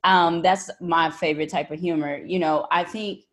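Brief: woman host, quite low voice, easygoing chat with laughs. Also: steady tone, normal speed. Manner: chatty, laughing. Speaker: podcast host. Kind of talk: casual chat.

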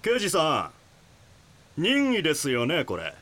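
An actor playing an evasive, scornful cult representative in an audio drama, dismissive and condescending. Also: male voice, a little high, huge pitch swings, unhurried, fairly bright tone, heavily acted. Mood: cold, dismissive.

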